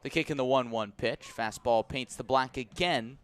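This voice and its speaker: A man calling live baseball play-by-play for the radio in a measured, descriptive way. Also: mid-range voice, crowd noise. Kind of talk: live radio sports commentary.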